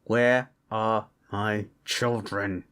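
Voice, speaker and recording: A man's voice: fairly high pitch, very animated, measured pace. A man playing a hot-tempered, protective beast father in a fantasy audio drama, speaking in a blunt, threatening way. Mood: demanding.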